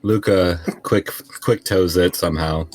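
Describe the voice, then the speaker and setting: A man's voice: deep voice, steady tone, measured pace. A man playing a tabletop role-playing game, chatting casually with the group. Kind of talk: casual chat.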